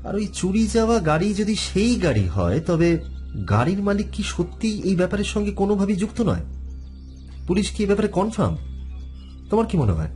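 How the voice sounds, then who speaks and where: A man acts out a witty, shrewd detective in an audio drama. His voice is mellow and dark, and his conversational delivery moves freely up and down. Music plays underneath. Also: high voice, big pitch swings, brisk. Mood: pondering, suspicious. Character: witty food-loving married male detective, shrewd and probing.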